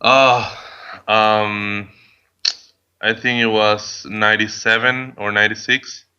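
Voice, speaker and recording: Bright-voiced man from Venezuela. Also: lowish voice, level tone, slow, smooth, dull audio. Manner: hesitant, frequent ums and restarts. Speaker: guest listener. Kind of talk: casual podcast Q&A.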